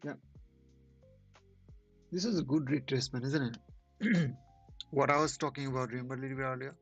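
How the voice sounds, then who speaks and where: A man whose first language is not English, hosting a trading channel and commenting casually on live charts in halting speech with many restarts. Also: medium pitch, creaky, slightly rough, lo-fi sound, faint music behind.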